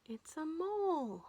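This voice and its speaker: Woman, high voice, animated sing-song storytime reader for young children, noticeable background noise. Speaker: children's librarian hosting storytime.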